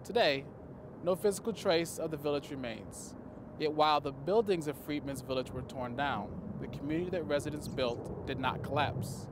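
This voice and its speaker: A man in his thirties gives a scripted history talk outdoors, speaking into a lapel mic in a measured, formal way. His voice sits a little high, and steady traffic hum is clearly heard behind him. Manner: measured, formal delivery to camera. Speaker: cemetery staff presenter. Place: outdoors in a cemetery.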